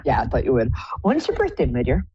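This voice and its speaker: A woman with a low contralto voice hosting a live psychic call-in show, chatty and effusive, calling callers pet names. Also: wildly swooping pitch, quick pace, dark tone, slightly rough, dry recording, muffled recording. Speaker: psychic radio host.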